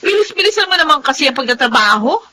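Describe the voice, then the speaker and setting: An actress with a Filipino accent playing a bossy, impatient household employer who nags and scolds in a radio drama. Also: female voice, sits high, sing-song, fast talker, sharp tone, slight background noise, exaggerated voice. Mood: impatient, scolding. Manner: nagging, exclamatory.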